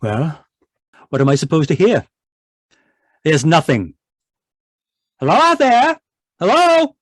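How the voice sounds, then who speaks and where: A man's voice: fairly high pitch, huge pitch swings, slow, dry recording, studio quality, heavily acted. A man playing an irritable, domineering middle-aged scientist husband in an old-time radio drama, contemptuous and blustering. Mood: scornful. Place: indoors, live video call performance.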